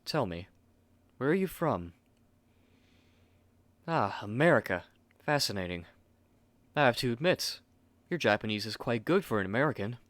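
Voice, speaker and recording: A man's voice: mid-range voice, expressive, normal speed, long pauses, fairly bright tone, lightly acted. A man playing a haughty, fussy young manga artist in an ASMR roleplay, speaking curtly and articulately to the listener on a close mic. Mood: haughty, inquisitive.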